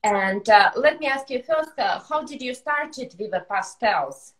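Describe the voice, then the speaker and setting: A woman with a Russian accent hosting an interview over a video call, enthusiastic and quick to laugh. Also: fairly high pitch, animated, low-quality recording. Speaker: interview host.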